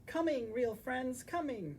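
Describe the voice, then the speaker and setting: A fussy little hedgehog girl from a storybook, performed by a man. The voice is high and very changeable, bossy and excitable. There is some noise behind it. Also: a little slow. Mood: excited. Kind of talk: children's picture book read-aloud.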